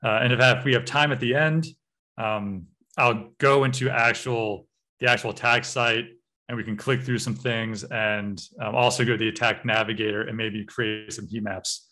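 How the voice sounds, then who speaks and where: American man giving a relaxed training talk. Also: lowish voice, normal speed. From United States. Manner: relaxed, frequent uh and um fillers. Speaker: cybersecurity trainer. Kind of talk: online workshop lecture over slides.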